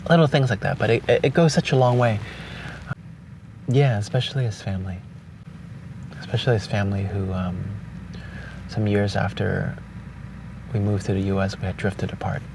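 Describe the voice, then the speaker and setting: Man, young, from Hong Kong, native Cantonese, reflective, pausing, outdoor beach, noisy background, passing cars. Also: on the low side, steady tone, creaky. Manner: thoughtful, pausing with restarts. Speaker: vlogger. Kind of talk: reflective spoken letter. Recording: distant outdoor mic with noise.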